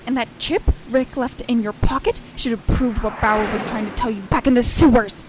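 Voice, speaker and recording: Woman playing a brisk, high-voiced cop. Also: background noise, lightly acted. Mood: insistent. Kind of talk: audio drama acting.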